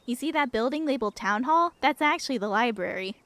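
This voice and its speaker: A woman's voice: a little high, animated, fast talker, fairly bright tone, no room sound. A woman voicing a chipper, easygoing young woman in a visual novel, breezy and chatty. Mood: upbeat, chatty.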